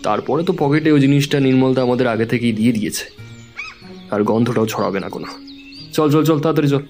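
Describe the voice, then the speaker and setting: A man playing an impatient, practical village henchman in an audio horror story, brisk and pushy. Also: medium pitch, a little mellow, soft background music, lightly acted. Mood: impatient, hurried.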